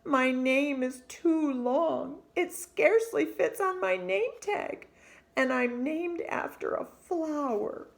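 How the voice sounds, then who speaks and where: A woman's voice: high-pitched, slow pace, vocal fry, voice pushed up, exaggerated voice. A woman voicing a sensitive, earnest young girl in a children's storybook read-aloud. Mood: dejected.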